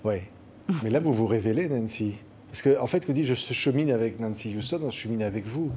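A man in his fifties hosting a TV studio interview, prompting his guest with lively questions and brief affirmations at a desk microphone. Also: mid-range voice, fairly quick, slight background noise. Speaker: TV host. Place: TV news studio.

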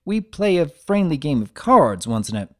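A narrator voicing a big, gentle male farm pony who talks in a folksy, earnest, laconic way. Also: medium pitch, very animated, moderate pace, close to an everyday voice. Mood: mild, earnest.

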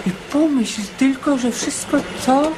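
Stammering, excitable prisoner, noisy recording. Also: male voice, very high voice, unhurried. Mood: overwhelmed, hopeful. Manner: stammering, repeating himself. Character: excitable, disbelieving condemned male prisoner, naively hopeful. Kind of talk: radio drama acting. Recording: noisy radio play recording.

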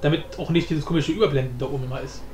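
High-voiced man in an echoey room. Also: fairly even intonation, very fast. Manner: informal, thinking aloud while demonstrating. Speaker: tutorial host. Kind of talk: casual screen-recorded tutorial commentary. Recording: roomy echo with background noise.

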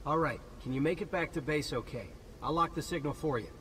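A man acts an easygoing young soldier in a video game. His voice is a bit high and eager, with faint noise behind it. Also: brisk, a bit bright, close to an everyday voice. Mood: calm. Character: eager, easygoing young male military recruit.

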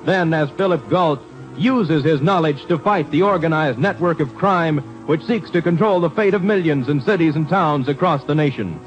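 Male announcer, American, 1950s radio crime serial: dramatic narration, higher voice, music underneath. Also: moderate pace, highs cut off. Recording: old radio broadcast recording.

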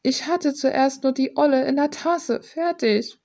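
Creaky-voiced young woman, casual vlogger. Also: high-pitched, unhurried, darkish tone. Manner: informal, blunt, slangy with swearing. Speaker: video creator. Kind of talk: casual rambling art vlog chat.

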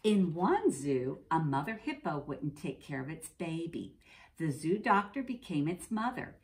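An American woman with a low voice reads a picture book to preschoolers. Her intonation rises and falls in a lively way. She breaks from the text to explain things simply, as a teacher talking to small children. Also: measured pace. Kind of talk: reading a picture book aloud to young children, with explanatory asides. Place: indoors, classroom.

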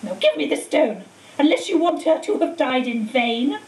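A woman reading a book aloud, voicing a cold, hissing dark lord who speaks slowly and shrieks his commands. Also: sits high, very animated. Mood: taunting. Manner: slow, menacing, shrieks commands. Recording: echoey room, distant mic, faint hiss.

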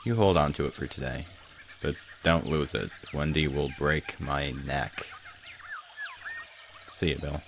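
Guy voicing an earnest nerdy teen boy, really low voice, thoughtful and halting, cartoon comic dub, bit of noise behind. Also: steady tone. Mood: soft, fond. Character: earnest, reflective teenage boy adventurer, slightly nerdy. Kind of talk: dramatic fan comic dub.

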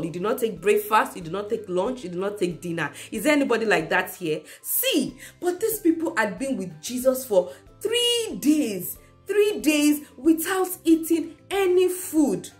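A young woman teaching a children's church class, retelling a Bible story to small children with lots of repetition and questions. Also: on the high side. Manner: animated, repeats key phrases for kids. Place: indoor room at home.

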